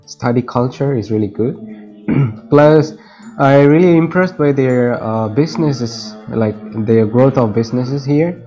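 A man's voice: mid-range voice, mellow, muffled recording. A young man from India, answering interview questions on a video call, hesitant with many fillers. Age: young adult. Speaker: interviewee, student.

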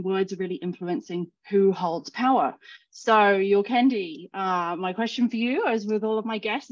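A woman hosting an interview over a video call with a headset, moving from scripted introductions into easy conversation. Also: on the low side. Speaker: host. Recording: slightly dull video call audio.

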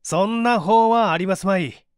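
A man's voice: sits high, normal speed, clean tone, no room sound, clean studio sound, voice pushed up, lightly acted. A man voicing an authoritative, composed detective doctor who speaks firmly and didactically in a story reading. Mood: firm objection. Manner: firm, emphatic, didactic.

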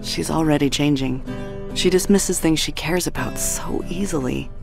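Worried big sister in a game, subdued creaky voice, guitar music behind. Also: female voice, sits low, normal speed. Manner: subdued, reflective. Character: worried, caring young woman, older sister. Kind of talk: dramatic video game acting. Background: plucked guitar music.

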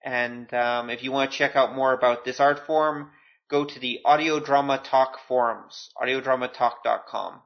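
A man's voice: mid-range voice, fairly even intonation, moderate pace. Casual male podcaster, slightly muffled sound. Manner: informal, self-deprecating, joking asides. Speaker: podcast host. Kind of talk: casual solo podcast talk.

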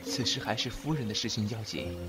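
A man acting a devoted, submissive subordinate in an ancient Chinese audio drama, speaking in a halting, emotional way. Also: lowish voice, loud music behind the voice, natural voice. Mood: subdued, resigned.

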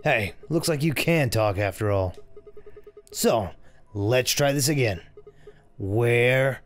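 A man voicing a confused young male game hero in a low, gravelly, tough-guy growl. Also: animated, slow, faint music behind. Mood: gruff.

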